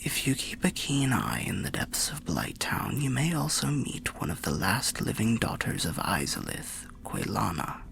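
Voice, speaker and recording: A man voicing an old undead soul telling fantasy tales in a musing, unhurried ASMR roleplay manner, low, gravelly and half-whispered. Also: very animated, a bit bright, soft background music, exaggerated voice. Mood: helpful, musing. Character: old undead soul, genial storyteller by a bonfire.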